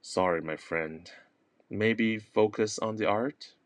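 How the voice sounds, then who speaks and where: A man's voice: fairly low pitch, takes it slowly, dry recording. A man playing a plain-spoken artist in a stage comedy who is fed up with his friend and protests in exasperation. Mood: weary.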